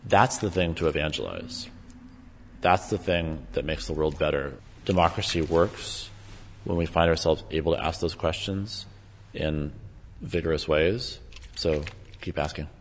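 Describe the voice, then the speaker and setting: Really deep man giving a speech, measured and emphatic, long echo, slight noise. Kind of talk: speech to an audience. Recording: long hall reverb, faint noise.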